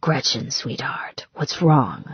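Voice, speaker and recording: A low, breathy groom played by a woman narrator speaks softly and gravely, soothing and tender. He is a storybook romantic hero, and the recording is slightly dull. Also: big pitch swings, slow, no echo, in a whisper, exaggerated voice. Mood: tender concern. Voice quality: deep, hushed, breathy. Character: grave, gentle, scarred man, tender and concerned groom.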